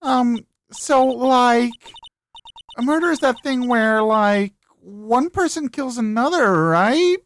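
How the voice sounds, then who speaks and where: A clueless young valley girl from a video game. Her voice sits high and swings airily as she hesitates through slow, filler-stuffed phrases. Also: lively intonation, slight background noise. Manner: hesitant, filler-laden, slow-witted drawl. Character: ditzy, dim young valley-girl type woman.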